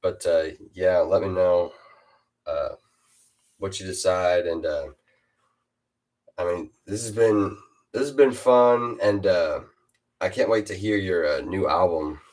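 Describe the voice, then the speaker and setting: A teenage boy with a very deep voice, hosting a casual chat with a fellow rapper and hesitating often. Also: slow pace, pauses often, darkish tone. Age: teens. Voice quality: super deep voice. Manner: hesitant, lots of uh and restarts. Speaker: host interviewing a fellow rapper.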